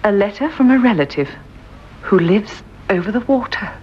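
An actress playing an excitable, superstitious friend who gushes and frets, in a lo-fi old radio drama. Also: female voice, mid-range voice, normal speed, a little hiss or hum, natural voice. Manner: gushing, fretful, emphatic. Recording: dull lo-fi old radio recording.

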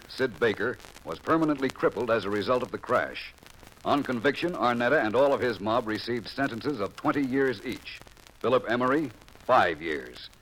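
A man plays a sober American federal agent narrating a 1950s radio crime show. His delivery is official and deadpan, at a middling pitch. There is faint noise on the old recording. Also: close to an everyday voice, rough recording. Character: sober, matter-of-fact FBI agent narrating his case.

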